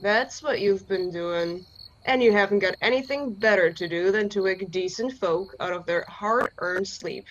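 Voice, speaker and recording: A woman's voice: mid-range voice, normal speed, natural voice. A woman playing a curt, suspicious roadside stand keeper in a radio play, with a folksy, scolding manner. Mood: irritated, scolding. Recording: slightly muffled online recording.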